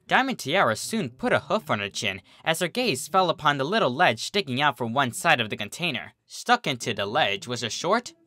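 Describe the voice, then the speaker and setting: An expressive reader narrating a comedic story from a script, acting out the character's reactions over background music. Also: mid-range voice.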